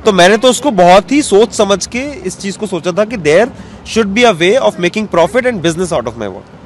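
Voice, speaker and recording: High-voiced man explaining his art. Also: expressive, measured pace. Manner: reflective, explains at length mixing English terms. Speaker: cartoonist guest. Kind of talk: sit-down interview answers. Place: outdoors in an open courtyard. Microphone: handheld mic held out by interviewer. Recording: faint outdoor street noise.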